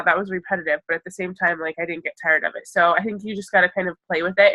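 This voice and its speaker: A young woman with a stuffed-up, congested voice from a cold talks in a bright, forward tone. She chats and reassures like a fitness coach on a live call, with plenty of ums along the way. The sound is dry and close, with almost no room. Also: mid-range voice, no room sound, low-quality recording. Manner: chatty, reassuring, many ums and filler. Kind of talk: casual live video call.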